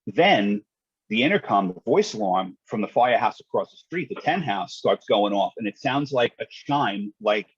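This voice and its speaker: A husky-voiced man recounts things in a matter-of-fact, colloquial way, with some salty language. He sounds like a podcast guest telling stories. The audio is dry and a little dull. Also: lowish voice, expressive, normal speed, dry recording. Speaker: guest, retired fire dispatcher. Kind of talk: conversational podcast storytelling.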